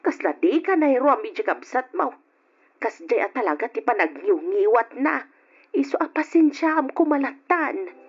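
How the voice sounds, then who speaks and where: A tender middle-aged mother in an old radio drama, her voice husky and breathy, pitched a little high. She reassures her grown children gently. The recording is dull and muffled like old broadcast audio. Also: very animated, normal speed, heavily acted. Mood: fond.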